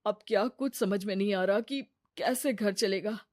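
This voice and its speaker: A woman's voice: mid-range voice, moderate pace, darkish tone, no room sound, clean studio sound, natural voice. A woman voicing a worried young husband in a cartoon story. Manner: worried, troubled.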